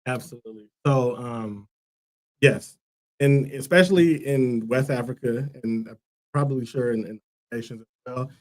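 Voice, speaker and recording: A man's voice: mid-range voice, moderate pace, poor audio. A man from Liberia, a guest on a talk show, who answers earnestly and thoughtfully with many fillers. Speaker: guest, school co-founder. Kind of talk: casual interview answers.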